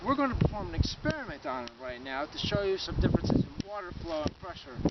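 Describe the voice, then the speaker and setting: A man co-presenting a student science video as a mock field report, halting and mock-formal. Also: high-pitched, animated, creaky. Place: outdoors beside a dam. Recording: distant muffled mic, loud water and wind.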